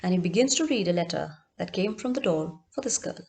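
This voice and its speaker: A woman's voice: fairly low pitch, expressive, brisk, a little mellow. A woman storyteller narrating expressively and dramatically, in short emphatic phrases with repetition.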